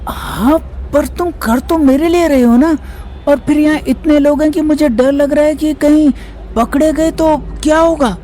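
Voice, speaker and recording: Woman-like voice for an anxious, high-pitched young man. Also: measured pace, dark tone, slight background noise. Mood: nervous, pleading. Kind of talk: Hindi audio comedy-horror drama acting.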